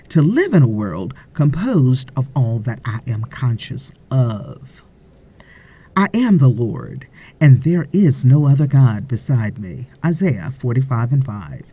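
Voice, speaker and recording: A woman reads a spiritual text as an audiobook narrator. Her voice is mellow and a little breathy and husky. She phrases deliberately, with pauses, and moves her pitch expressively across each sentence. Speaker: audiobook reader.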